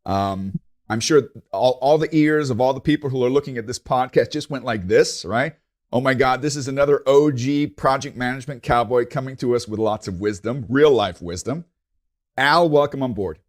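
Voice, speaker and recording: A man with a mid-range voice hosts his podcast in a loose, rambling way. He pauses often, fills gaps with ums and uhs, and restarts his sentences. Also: mid-range voice. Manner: rambling, hesitant, lots of fillers and restarts. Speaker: podcast host. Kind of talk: casual interview hosting.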